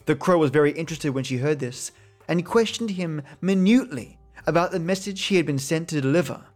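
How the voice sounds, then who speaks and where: A man reading an old folk tale aloud in a calm, steady storytelling voice. His pitch sits a little high. Faint music plays underneath. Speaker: podcast host reading folk tales. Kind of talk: storytelling narration.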